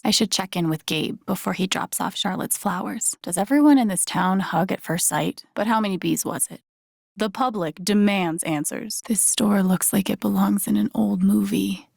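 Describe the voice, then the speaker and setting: A voice actress playing a wry, warm-hearted young woman who mutters her thoughts to herself in a naturalistic, understated video game style. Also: medium pitch, gravelly, dry recording. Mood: musing. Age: young adult. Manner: dry, understated, self-talking wit.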